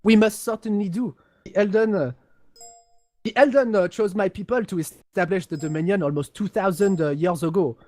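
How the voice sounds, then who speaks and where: Man putting on a pompous high-pitched space aristocrat. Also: darkish tone, no room sound, lightly acted. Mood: proud. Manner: haughty, pompous, halting delivery. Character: pompous, condescending highborn alien aristocrat man of a galactic empire. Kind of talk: amateur role-play reading of game lore.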